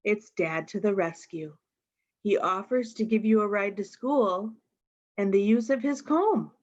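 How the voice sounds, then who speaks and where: A woman reads a picture book to young children at an unhurried, slow pace. She is expressive and speaks straight to the little listeners, often with questions. The recording is slightly dull and lo-fi. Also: medium pitch. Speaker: storytime reader.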